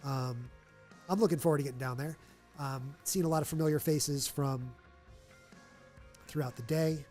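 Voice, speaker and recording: A man's voice: a little high, steady tone, normal speed, a little mellow, soft background music. A man with a nasal voice hosting a live charity stream, chatting upbeat to fill time. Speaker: livestream host. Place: stadium event room.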